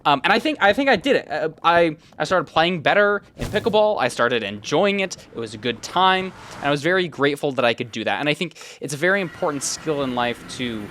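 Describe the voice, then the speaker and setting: A young man in his twenties with a noticeably high voice talks like a YouTuber sharing a personal story. He rambles conversationally and often quotes his own thoughts. There is clear noise behind his voice. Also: expressive, fairly quick, hardly any pauses, a bit bright. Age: 20s. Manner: rambling, conversational, frequent self-quoting.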